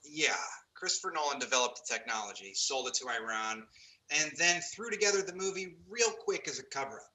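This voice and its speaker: A man's voice: fairly high pitch, lively intonation, a little fast, sharp tone, rough recording. A man playing a smug, self-pitying Hollywood actor in a comedy table read.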